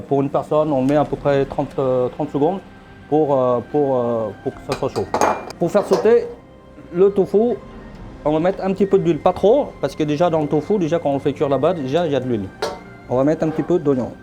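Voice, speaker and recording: A middle-aged man from Vietnam, a chef demonstrating dishes in his restaurant kitchen, talking haltingly with many fillers. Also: on the high side. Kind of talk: cooking demonstration. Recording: faint music behind.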